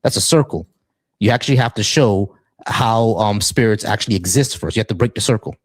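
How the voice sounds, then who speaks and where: A man's voice: lowish voice, fairly quick, no room sound. A man hosting and moderating a live debate panel, insistent and challenging, often repeating himself.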